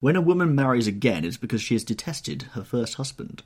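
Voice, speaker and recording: A man voicing an urbane, cynical and witty gentleman in an audiobook, with polished, epigrammatic delivery. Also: mid-range voice, expressive, brisk, husky, pitched above the performer's own voice. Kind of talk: audiobook character voice.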